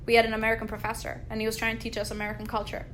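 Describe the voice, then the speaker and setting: Woman, Albanian native, interviewer, lightly high, casual and talkative. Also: completely flat delivery, very fast, fairly bright tone, slight background noise. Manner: chatty, uses "like" often. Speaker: podcast host.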